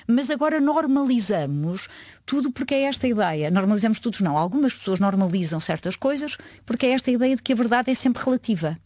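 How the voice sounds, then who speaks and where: A woman's voice: fairly high pitch, expressive, fast, darkish tone, no echo. Earnest woman in her fifties, dry sound. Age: 50s. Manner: earnest, emphatic, frequent hesitations. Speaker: UN agency official, guest. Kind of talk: conversational interview answers.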